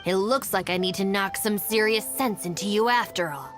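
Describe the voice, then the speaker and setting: A woman's voice: medium pitch, normal speed, natural voice. A woman playing a stern, exasperated young gang deputy in a video game, curt, dry and scolding. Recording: music behind the voice.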